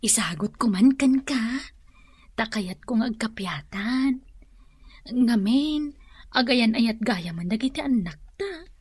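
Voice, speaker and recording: A woman's voice: a little high, expressive, slow, long pauses, breathy edge, no room sound, heavily acted. An actress playing a feuding, hot-tempered mother in a radio drama, with a Filipino accent and emotive delivery. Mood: soft, conciliatory.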